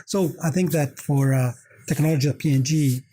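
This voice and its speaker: Husky middle-aged Italian-accented man. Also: a little high, a little fast, warm tone. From Italy. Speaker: corporate technology executive, interview guest. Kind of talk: conversational interview answers.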